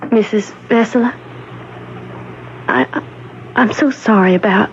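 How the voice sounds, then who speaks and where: A woman's voice: medium pitch, unhurried, lightly acted. An actress in a radio drama playing an anguished nineteen-year-old small-town young woman. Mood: gentle, hesitant. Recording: dull, noisy old radio recording.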